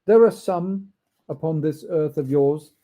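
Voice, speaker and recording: A man voicing a gigantic, genial yet admonishing spirit with emphatic, deliberate delivery in a storybook reading. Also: on the high side, warm tone, lightly acted. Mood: solemn, admonishing. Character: gigantic male Christmas spirit, genial but solemnly admonishing.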